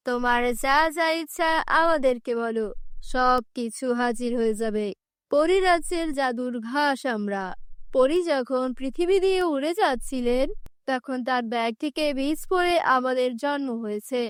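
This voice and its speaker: A woman voicing a kindly magical grass spirit from fairy land, speaking slowly and solemnly in a cartoon fairy tale. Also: high voice, clean tone, no echo, clean studio sound, natural voice. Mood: benevolent, solemn.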